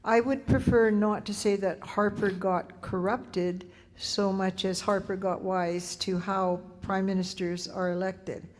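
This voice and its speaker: A woman on a discussion panel, answering audience questions in a measured, explanatory way. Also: mid-range voice, steady tone, darkish tone, a little hiss or hum. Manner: measured, corrects and elaborates points. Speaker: panel speaker. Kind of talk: explanatory reply at a public meeting. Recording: long hall reverb.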